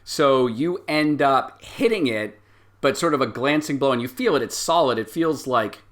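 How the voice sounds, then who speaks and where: A man running a tabletop game as game master, improvising the narration and thinking aloud in a halting way. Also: medium pitch, expressive, a bit bright.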